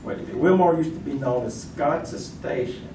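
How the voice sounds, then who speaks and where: A man's voice: a little high, moderate pace, natural voice. Man as insistent contestant in echoey room. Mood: eager, insistent. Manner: repetitive, insistent. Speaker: quiz contestant. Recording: roomy, distant, noisy stage recording.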